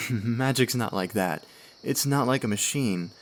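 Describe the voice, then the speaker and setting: A man's voice: mid-range voice, a little slow, close to an everyday voice. Man playing an earnest young student mage, faint noise. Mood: friendly, explaining. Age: young adult. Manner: earnest, eager, explaining. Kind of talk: fan-made radio drama acting.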